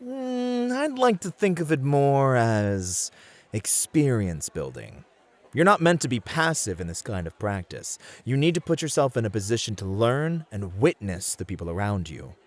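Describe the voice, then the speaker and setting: A man's voice: mid-range voice, big pitch swings, normal speed, lightly acted. A man playing a suave, polite club host in an ASMR roleplay, flirtatious and courtly as he teases and mentors. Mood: smooth, encouraging.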